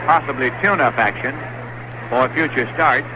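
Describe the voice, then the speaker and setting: A man calling a baseball game play by play on the radio, brisk and excited on big plays. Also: medium pitch. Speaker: play-by-play announcer. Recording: hissy 1960s radio broadcast.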